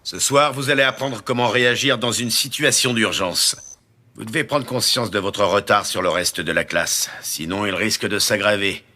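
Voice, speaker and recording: Stern anime mentor: low-pitched, forceful, dry and commanding, no sentiment. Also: male voice, moderate pace. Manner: curt, matter-of-fact. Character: stern, unsentimental male teacher hero, curt and authoritative. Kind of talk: French-dubbed anime acting.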